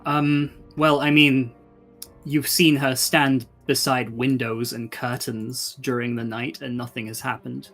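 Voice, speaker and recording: A man's voice: medium pitch, level tone, unhurried, fairly bright tone, soft background music. A man running a tabletop game as game master, casually narrating and thinking aloud.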